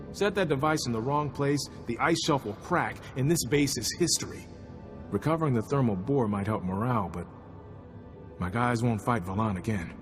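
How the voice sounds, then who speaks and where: An actor playing a gruff, weary and practical military commander in a video game, brisk and blunt. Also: male voice, mid-range voice, big-room sound, lightly acted. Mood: worried, explaining. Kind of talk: video game cutscene acting.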